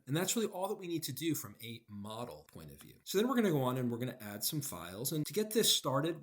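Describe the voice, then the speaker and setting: A man narrating a software tutorial in an explanatory way, stressing key words. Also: mid-range voice. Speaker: software demo presenter. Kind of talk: screen-recorded tutorial narration.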